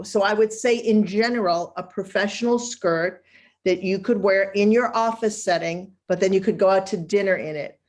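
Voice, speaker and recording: A woman with a Boston accent speaks as a wardrobe stylist on a video call. Her delivery is chatty and emphatic, and she laughs easily. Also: mid-range voice. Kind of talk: casual live webinar talk.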